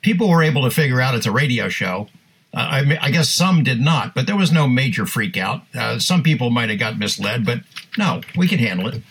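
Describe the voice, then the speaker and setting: A male podcast co-host with a raspy voice of medium pitch. He chats casually in an easygoing, conversational way. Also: animated, brisk, fairly bright tone. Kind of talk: casual chat.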